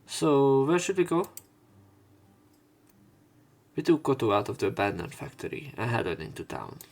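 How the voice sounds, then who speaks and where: A man's voice: mid-range voice, big pitch swings, moderate pace, creaky. Man narrating a visual novel, music behind. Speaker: let's play narrator. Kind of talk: reading visual novel text aloud with commentary.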